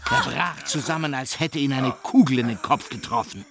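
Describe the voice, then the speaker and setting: Male narrator for radio drama: mid-pitched, animated, literary storytelling with music underneath. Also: normal speed. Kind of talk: scripted radio play narration.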